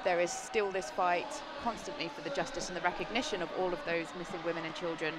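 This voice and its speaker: A British woman commentating live on a roller derby game, earnest and explanatory, rising in excitement on the plays. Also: fairly low pitch, fast, heavy background noise. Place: sports venue.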